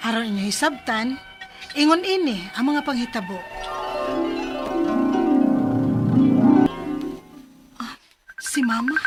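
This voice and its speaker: An actress playing an earnest, plaintive young Filipina overseas worker in a radio drama. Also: female voice, high-pitched. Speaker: radio drama lead and narrator.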